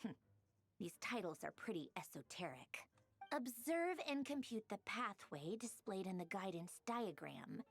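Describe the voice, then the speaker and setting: A woman's voice: medium pitch, sing-song, measured pace, slightly rough, faint music behind, exaggerated voice. A woman voicing a studious, analytical young scholar in a video game, precise and bookish as she thinks aloud. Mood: focused, pensive. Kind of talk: animated video game voice acting.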